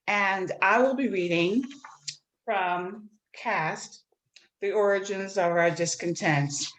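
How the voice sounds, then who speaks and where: A woman at a literary reading, speaking and reading aloud from nonfiction in a measured, earnest manner. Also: on the low side. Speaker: reader at a literary read-in. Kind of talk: introducing and reading aloud a nonfiction book excerpt. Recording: roomy, echoing sound.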